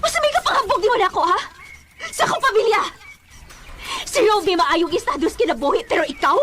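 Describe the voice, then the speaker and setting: Very high shrill scornful girlfriend. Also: expressive, fairly quick, sharp tone, a little hiss or hum, heavily acted. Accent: Cebuano. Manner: shrill, taunting, haughty. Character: scornful young woman cruelly dumping her poor boyfriend. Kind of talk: Bisaya radio drama acting.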